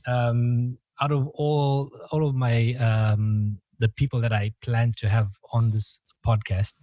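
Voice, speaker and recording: A man's voice: medium pitch, flat delivery, slow pace, mellow, no room sound, lo-fi sound. A young man from Malawi hosting a podcast, chatting casually and laughing often between filler words. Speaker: podcast host. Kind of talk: casual interview chat.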